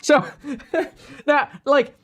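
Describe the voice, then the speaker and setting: A man co-hosting a livestream, reacting to a clip in an animated, joking way. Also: very high-pitched, extremely slow.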